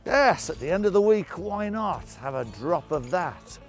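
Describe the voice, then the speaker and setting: Middle aged guy doing town crier storytime, really animated up and down voice, slow with big dramatic pauses, jolly and hammy. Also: high-pitched, faint music behind. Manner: jovial, hammy, exclamatory, with rhetorical questions and dramatic pauses. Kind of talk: theatrical historical storytelling to camera.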